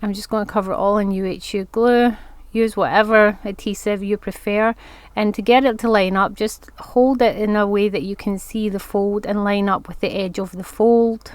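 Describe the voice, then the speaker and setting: A woman's voice: medium pitch, clean tone, no echo. A woman with a congested voice from hay fever, chatting her way through a step-by-step crafting tutorial. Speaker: craft vlogger.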